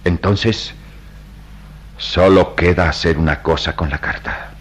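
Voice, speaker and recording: An actor playing a grave, compassionate priest in a radio drama, speaking in solemn, oratorical phrases. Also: male voice, deep voice, takes it slowly, husky, heavily acted. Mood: fervent, grave. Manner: solemn, oratorical, emphatic delivery. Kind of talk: melodramatic Latin American radio drama acting.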